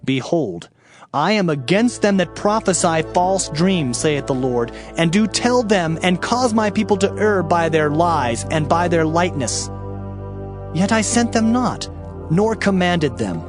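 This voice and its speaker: A man with a fairly high, very animated voice reads scripture as a dramatized audio Bible. His delivery is solemn, declamatory and emphatic, with music clearly playing behind him. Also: measured pace. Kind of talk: dramatized King James scripture reading. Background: background music.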